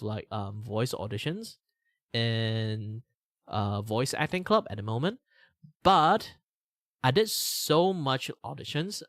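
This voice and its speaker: A young Malaysian man chatting on a live stream, his Malaysian accent tilted a little toward British English. His pitch jumps around a lot and he laughs easily. The close mic sounds completely dry. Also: a bit bright, dry recording. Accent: Malaysian, lightly British-leaning. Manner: chatty, self-deprecating, laughs often. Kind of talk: casual live-stream chat.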